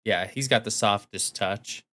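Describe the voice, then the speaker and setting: A man with a fairly low voice talks casually as a podcast co-host. He is close to the microphone, with a dry sound free of room echo. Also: measured pace, sharp tone. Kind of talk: casual chat.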